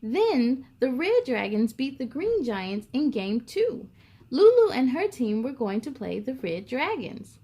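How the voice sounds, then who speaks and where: A woman's voice: high-pitched, very animated, a little slow, dark tone, slightly rough. A woman hosting a read-aloud for young children, telling picture-book stories expressively and speaking straight to her listeners.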